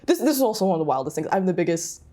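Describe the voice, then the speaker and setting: A young adult woman interviewing casually as a co-creator, effusive and animated in the way she tells things. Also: fairly low pitch, rapid-fire, slight background noise.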